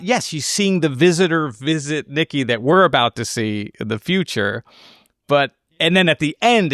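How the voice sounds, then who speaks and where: A man with a mid-pitched voice in a dry, close recording. He talks casually about film as a podcast co-host, working through explanations aloud with stops and restarts. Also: a bit bright, no echo.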